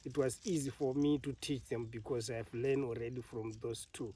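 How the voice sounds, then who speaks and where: Zimbabwean man telling his story, mellow voice. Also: medium pitch, steady tone, distant mic, a little hiss or hum. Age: middle-aged. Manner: laughs often, halting, plain storytelling. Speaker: elephant carer. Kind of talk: interview storytelling.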